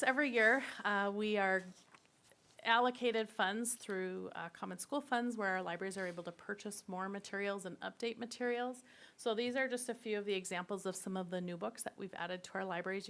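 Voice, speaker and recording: A woman's voice: medium pitch, fairly bright tone. Upbeat woman presenting to a board. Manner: upbeat, explaining slides. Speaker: district staff presenter. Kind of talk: presentation at a public board meeting.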